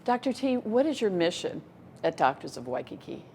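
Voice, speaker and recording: A woman hosting an interview show, asking prepared questions in a warm, measured way. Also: medium pitch, sing-song, over loud music. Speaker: interview host.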